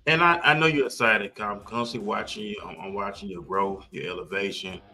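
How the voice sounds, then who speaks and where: A man with a bright, forceful voice hosts a podcast with plenty of enthusiasm. He is effusive and emphatic, often repeating himself for weight. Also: on the low side, fairly quick, faint music behind. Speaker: podcast host.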